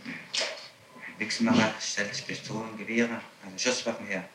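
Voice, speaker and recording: A man's voice: on the low side, little pitch movement, a little slow, reverberant. A man questioning a suspect in an archival recording, probing and insistent. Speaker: interrogator. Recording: distant, noisy old tape recording.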